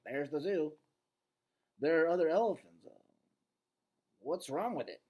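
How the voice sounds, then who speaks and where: A man's voice: fairly high pitch, lively intonation, measured pace, dark tone, husky, pitched above the performer's own voice. A man voicing a grumbling, exasperated dog from a storybook, with a complaining manner.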